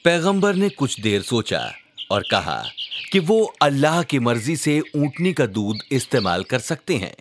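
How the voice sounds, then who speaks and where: A man narrating a scripted children's religious story in a measured, dramatic storyteller delivery. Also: mid-range voice, big pitch swings, slight background noise.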